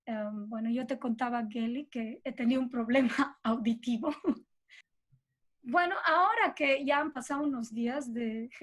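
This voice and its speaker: A middle-aged Bolivian woman with a Bolivian accent talks reflectively and informally as an interviewed composer, thinking aloud in an expressive way. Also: on the high side.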